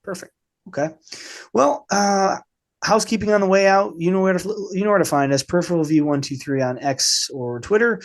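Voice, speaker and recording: A man hosting a casual film podcast, speaking informally and haltingly with a slight cold in his voice. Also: high-pitched. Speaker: podcast host.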